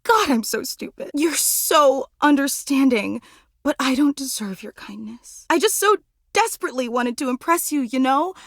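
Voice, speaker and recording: A woman voicing an adoring, anxious superfan in a video game, effusive, dramatic and quick to put herself down. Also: high-pitched, animated, a bit bright, no echo, clean studio sound. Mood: self-pitying, apologetic. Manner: effusive, dramatic, self-deprecating. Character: gushing, anxious, adoring superfan, an animate household object. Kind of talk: video game character voice acting.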